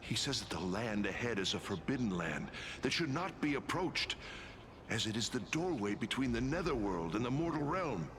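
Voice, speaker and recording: An actor playing a courteous, weary military officer of an ancient kingdom in a video game, speaking formally and hesitantly. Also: male voice, mid-range voice, very animated, moderate pace, noisy background, natural voice. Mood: grave, weary. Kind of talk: video game story dialogue.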